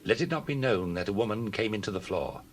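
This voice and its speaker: An actor playing a kindly, dignified landowner of ancient Israel in a dramatized Bible reading, solemn and measured. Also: male voice, on the low side, animated, a little hiss or hum, close to an everyday voice. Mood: tender, reassuring.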